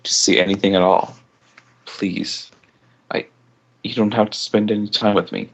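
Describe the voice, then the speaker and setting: A man voicing a heartbroken, lonely young sister in a story, pleading in halting phrases. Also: lowish voice, fairly even intonation. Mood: desperate pleading.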